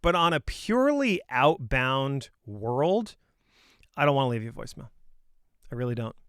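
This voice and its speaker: A man's voice: mid-range voice, big pitch swings, moderate pace, a bit bright, clean tone, no room sound, clean studio sound. A man coaching on sales in an informal, opinionated talk, speaking emphatically and conversationally with punchy rhetorical questions.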